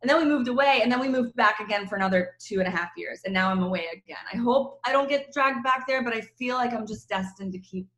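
A woman from Croatia, a novelist guest on a radio interview by phone, chatty and animated, laughing often. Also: a little high, fast talker, few pauses, echoey room, low-quality recording. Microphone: phone call.

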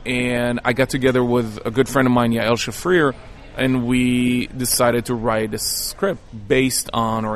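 A man's voice: mid-range voice, a bit bright. A man from Israel speaking as a film director in an interview, giving conversational answers.

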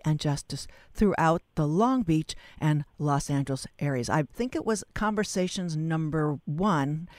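A woman's voice: low-pitched, no room sound. A woman hosting a public affairs interview, phrasing things formally and carefully with deference to the guest. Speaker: radio interview host.

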